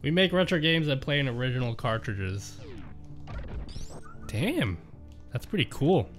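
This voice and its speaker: Slow-talking man chatting on stream. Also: mid-range voice, very animated, fairly bright tone, faint music behind. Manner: reads chat aloud and jokes with viewers. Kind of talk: casual chat while playing a game.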